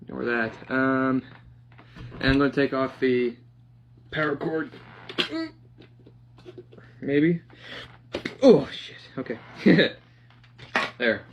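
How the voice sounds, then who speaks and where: Slow-paced young man muttering through DIY. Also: medium pitch. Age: young adult. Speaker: DIY vlogger. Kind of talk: casual hands-on project narration, half talking to himself. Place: living room, indoors. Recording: dull lo-fi sound, clear background noise.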